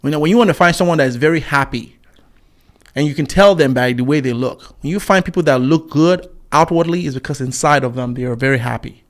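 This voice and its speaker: A man's voice: on the high side, fast. A man in his forties talking casually as a YouTube commentator, reading out viewer comments with scorn and many fillers and restarts. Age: 40s.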